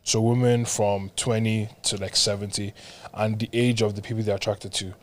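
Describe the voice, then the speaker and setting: A man's voice: fairly low pitch, little pitch movement, normal speed. A man co-hosting a podcast who explains his point step by step and stumbles over the start of his sentences. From Ireland. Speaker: podcast co-host. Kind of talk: casual chat.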